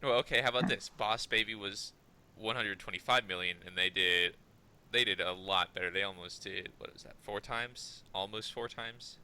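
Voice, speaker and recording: A man co-hosting a podcast in casual discussion, halting and often correcting himself. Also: fairly low pitch, sharp tone, slight background noise. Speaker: podcast co-host.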